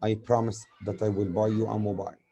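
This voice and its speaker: A man's voice: lowish voice, flat delivery, fast talker, dark tone, lo-fi sound. A middle-aged man, an Arabic speaker teaching an English grammar lesson and mixing in Arabic words as he explains.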